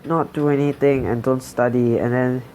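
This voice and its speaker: A man's voice: medium pitch, steady tone, normal speed, a little mellow, lo-fi sound, slight background noise. A young man in his late teens from Singapore, telling his life story in a hesitant voice-over full of fillers and restarts. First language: Chinese. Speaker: channel host.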